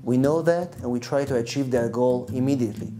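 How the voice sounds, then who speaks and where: A man's voice: medium pitch, fairly quick. Man narrating over guitar music. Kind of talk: scripted promotional narration. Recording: music behind the voice.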